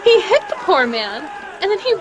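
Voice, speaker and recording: A woman's voice: very high-pitched, big pitch swings, normal speed. A woman playing a genteel, distressed lady in a radio drama, pleading and dramatic. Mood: shocked, concerned. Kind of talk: old-time radio drama script read live. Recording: noisy, with crowd ambience behind.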